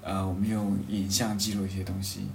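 A man with a fairly low voice talks casually and reflectively, feeling his way through each idea and often rephrasing. The room adds a clear echo, and there is faint noise behind him. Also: little pitch movement, a little mellow. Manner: halting, rephrases thoughts as he goes.

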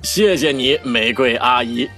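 A man's voice: medium pitch, a little slow, bright, forceful tone. A man playing a jolly, secretive baker in a children's audio drama, playful and teasing, with music behind. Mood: cheerful. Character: jolly, secretive male cake-shop baker.

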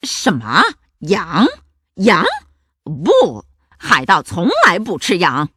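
A woman's voice: lowish voice, sing-song, slow pace, a bit bright, husky, no room sound, studio quality, voice pushed down, full character voice. A woman playing a bossy, mocking male pirate captain in a children's story, speaking in a commanding, emphatic way. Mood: proud, gruff.

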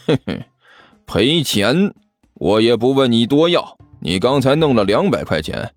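A man voicing a brazen, stubborn, quick-witted hustler in an audiobook, arguing with sarcasm and sneers. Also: medium pitch, big pitch swings, no echo, faint music behind, pitched below the performer's own voice. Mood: sly, mocking.

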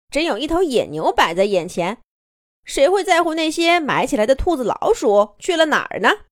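A woman voicing an adult wolf who bluntly and loudly challenges the pack's king. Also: fairly high pitch, dry recording, clean studio sound. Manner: loud, blunt, mocking.

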